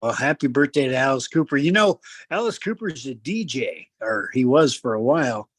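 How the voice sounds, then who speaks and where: Radio cohost guy, average pitch, casual commentary, measured n a bit preachy to the folks listening, dry studio-like sound. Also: expressive, slightly rough, dry recording. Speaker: radio show co-host. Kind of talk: casual talk show commentary.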